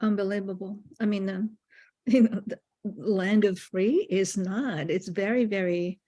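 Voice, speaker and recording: A woman putting questions at an online meeting, phrasing them haltingly and deliberately. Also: medium pitch, steady tone, warm tone, highs cut off. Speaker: meeting participant asking questions.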